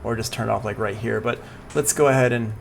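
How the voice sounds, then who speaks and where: A man giving a casual, improvised live software tutorial as a music production instructor, thinking aloud hesitantly as he works. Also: on the low side, fast talker, creaky, slight background noise. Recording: music playing behind the voice.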